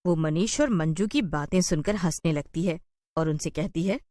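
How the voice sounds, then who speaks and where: A woman's voice: fairly low pitch, normal speed, mellow, a little hiss or hum. A woman giving scripted narration as the storyteller of a horror cartoon.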